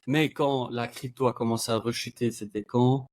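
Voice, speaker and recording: A man's voice: medium pitch, steady tone, normal speed, a little mellow, no room sound. A man in his thirties from German-speaking Belgium, a native German speaker, chatting as a podcast guest with many hesitations and laughs. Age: 30s. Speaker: podcast guest, video creator. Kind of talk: casual interview chat.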